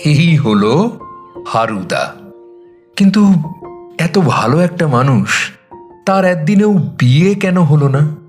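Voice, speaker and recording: Male narrator, highish voice, very lively intonation, chatty storytelling, conversational asides, music underneath. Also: slow, long pauses. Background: background music.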